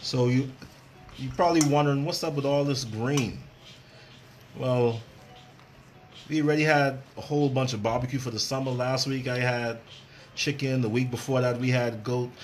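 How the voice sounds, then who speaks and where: A man's voice: medium pitch, steady tone, moderate pace, long pauses, darkish tone. A man presents a casual cooking demo as a chef. He is chatty, with a mid-range voice and a habit of repeating phrases for emphasis. Light background noise sits behind him. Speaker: chef and cooking show host.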